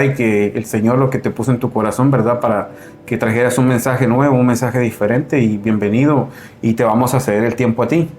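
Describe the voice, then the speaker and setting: A man's voice: mid-range voice, a little mellow, a little hiss or hum. A man hosting a religious video program, introducing a guest in an earnest, preacher-like way. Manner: earnest, preacher-like with frequent "¿verdad?". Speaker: host.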